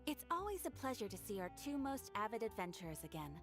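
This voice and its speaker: A woman's voice: on the high side, very animated, fast talker, music in the background. A woman playing a polite, professional guild receptionist in a video game. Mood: polite, welcoming. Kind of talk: video game dialogue acting.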